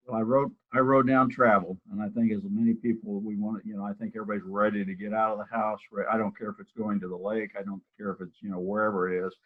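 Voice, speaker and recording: An older man with a low voice talks casually on a video call, rambling and restarting his sentences. The audio comes through thin and tinny, like a phone line. He sounds like a broker chatting about his plans and his office. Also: flat delivery, fast talker, few pauses. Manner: rambling, with many restarts and fillers. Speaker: real estate broker and office manager. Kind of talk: casual interview over a live video call.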